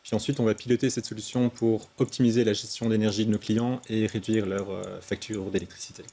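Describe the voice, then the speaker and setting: A man's voice: lowish voice, flat delivery, brisk, mellow. A man, a start-up founder giving structured technical explanations.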